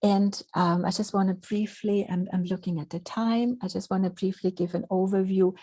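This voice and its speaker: A woman from Switzerland with a Swiss accent, giving a measured lecture over slides with restarts and fillers. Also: medium pitch, steady tone, mellow, husky, no echo. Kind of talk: presentation with slides.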